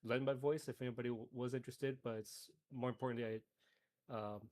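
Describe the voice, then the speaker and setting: A man, a musician, introducing himself casually in a hesitant, halting way. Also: medium pitch, steady tone, darkish tone.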